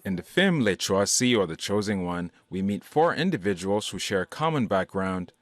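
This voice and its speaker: Deep-voiced male news reporter. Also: soft background music. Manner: measured, even delivery. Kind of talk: scripted news narration.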